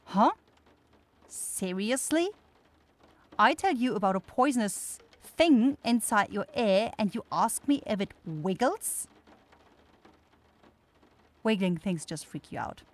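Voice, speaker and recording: A woman's voice: medium pitch, animated, slow, pauses often. A woman voicing a caring, easily flustered young forest witch in an ASMR fantasy roleplay, speaking in hesitant, blurting phrases. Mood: concerned, teasing.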